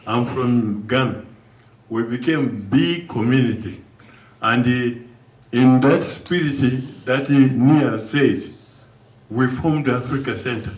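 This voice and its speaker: An older man from Uganda, speaking earnestly to a community gathering in halting, restarting sentences. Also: medium pitch. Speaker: guest speaker. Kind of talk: community speech. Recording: echoey room from far off.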